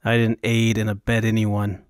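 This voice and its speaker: A man's voice: on the low side, completely flat delivery, normal speed, no echo, natural voice. A man voicing an exasperated traveller in an audiobook, in a dry, matter-of-fact manner.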